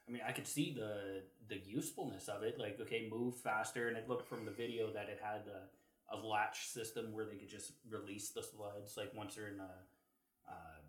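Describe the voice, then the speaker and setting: A Canadian man with a lowish voice chats on a podcast. His delivery is halting as he works through points. The room sounds distinctly echoey. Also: fairly even intonation. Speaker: podcast co-host. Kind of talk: casual chat. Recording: roomy echo.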